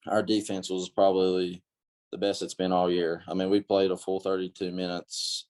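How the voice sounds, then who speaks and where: Young man, athlete, low voice, flat delivery, brief answers. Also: normal speed. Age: young adult. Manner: short, plain answers. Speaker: high school basketball player. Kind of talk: casual interview answers.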